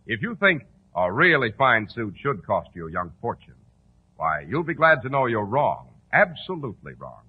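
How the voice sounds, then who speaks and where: Animated American radio announcer, tinny sound. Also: male voice, mid-range voice, normal speed, a little hiss or hum. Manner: polished, emphatic salesmanship. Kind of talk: 1940s radio announcing and sponsor pitch. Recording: tinny, narrow old radio recording.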